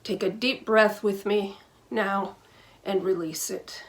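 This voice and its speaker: A woman minister speaks at a middle pitch, recorded at home. She delivers a scripted welcome for a church service, phrasing it in a measured and deliberate way. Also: fairly even intonation.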